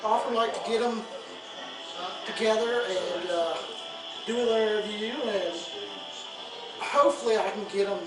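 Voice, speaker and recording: A man's voice: high-pitched, a little slow, pauses often. A man hosting a casual, impromptu interview in a coffee shop, rambling with many fillers and restarts. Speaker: coffee shop owner and show host. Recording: echoey room, mic far off, music playing. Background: music.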